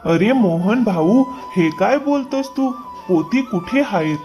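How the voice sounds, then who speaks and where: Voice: mid-range voice, animated, takes it slowly, soft background music. An actor voicing an honest, hardworking farmer man in a moral-story cartoon. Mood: shocked.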